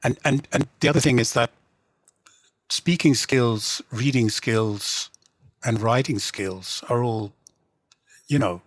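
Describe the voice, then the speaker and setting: A man's voice: medium pitch, no echo. A middle-aged man from England, a translator and novelist, talking casually in an interview with many restarts and fillers. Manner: halting, many restarts and "you know" fillers.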